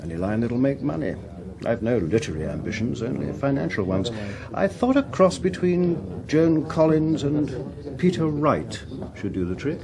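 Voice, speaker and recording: A mercenary, teasing Englishman in a radio drama. His medium-pitched voice is brash and varies widely, and there is a clear crowd in the background. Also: very animated, moderate pace. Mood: sly, teasing.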